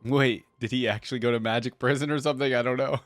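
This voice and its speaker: A man's voice: mid-range voice, steady tone, fast, no echo. A man hosting a reaction video, commenting casually in short, laid-back remarks as he muses aloud.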